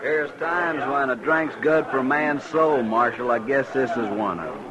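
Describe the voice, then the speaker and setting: An actor playing a proud, plain-spoken Texas cowboy with a drawl in a 1950s radio western. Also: male voice, mid-range voice, normal speed, highs cut off, over a noisy crowd, natural voice. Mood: gruff goodwill. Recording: old radio broadcast recording.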